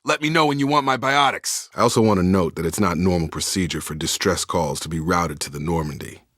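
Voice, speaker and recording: Low-pitched, clipped space soldier. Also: male voice, fairly quick, fairly bright tone, dry recording, clean studio sound. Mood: urgent combat barking. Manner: clipped, military, straight-talking. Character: loyal, earnest young male space soldier, calm professional squadmate. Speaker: video game voice actor. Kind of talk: video game character dialogue.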